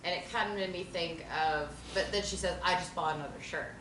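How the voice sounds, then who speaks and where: A woman's voice: fairly low pitch, brisk. A woman who acted in the reading thoughtfully shares her notes in a casual discussion, searching for words with many fillers. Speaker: actor who read stage directions. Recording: echoey room, distant mic, noisy.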